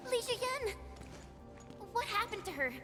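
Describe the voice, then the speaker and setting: An actress playing an impatient, fiery young woman in a video game, blunt and expressive. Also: extremely high pitch, takes it slowly, over music, full character voice. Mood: alarmed. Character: impatient, fiery young woman, fiercely concerned for her comrade.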